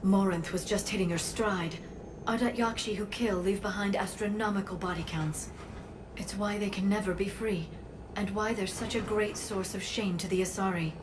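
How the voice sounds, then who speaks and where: A woman playing an earnest alien scholar who explains gravely and precisely in a video game. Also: mid-range voice, lightly acted. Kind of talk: video game dramatic exposition. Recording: noticeable ambient noise.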